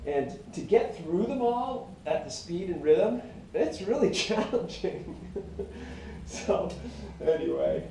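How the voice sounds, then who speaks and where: A middle-aged singer-songwriter with a high tenor voice, talking in a meandering, reflective way full of fillers and restarts. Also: male voice, animated, takes it slowly, mellow. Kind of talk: rambling casual monologue. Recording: very echoey room, mic at a distance.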